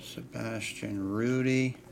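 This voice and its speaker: A man's voice: mid-range voice, fairly even intonation, takes it slowly, vocal fry, background noise. A man casually talking through a live trading card box break, reading out cards as he opens packs. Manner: casual, chatty, reads out cards while opening packs. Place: indoor table set up for card streaming.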